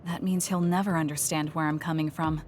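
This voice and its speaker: Girl voicing calm blunt young anime game fighter, kinda low voice, measured and earnest, music behind. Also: close to an everyday voice. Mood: concerned. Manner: measured, matter-of-fact, earnest. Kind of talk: English anime game dub acting.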